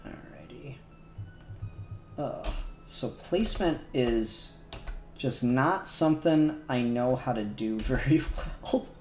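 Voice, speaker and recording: Male streamer, unhurried, thinks aloud while he works; echoey room, faint music under. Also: mid-range voice, animated. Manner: self-conscious, muttering to himself as he works. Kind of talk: casual live-stream talk while 3D modelling.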